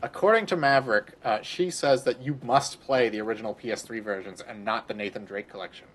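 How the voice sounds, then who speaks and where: A man's voice: medium pitch, brisk. A man streaming a game, rambling crudely in casual commentary and laughing often.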